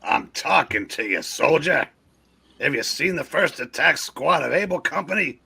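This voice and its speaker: A man voicing a snarling, gruff army sergeant in a comic-book read-through, with a menacing sneering manner. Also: a little high, lively intonation, moderate pace, bright, forceful tone, exaggerated voice.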